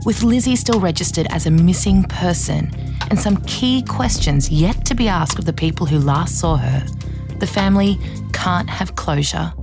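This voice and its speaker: An actress playing an earnest, composed true crime podcast host, with measured scripted narration. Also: female voice, fairly low pitch, creaky, music in the background.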